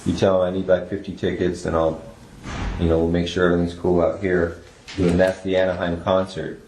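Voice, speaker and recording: An American man with a very low voice leads an interrogation, methodically going back over details. The sound is echoey, with some faint noise in the room. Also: level tone, fairly quick, darkish tone. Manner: methodical, steering back to the account. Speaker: police interrogator. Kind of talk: interrogation, clarifying questions. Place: indoor police interview room.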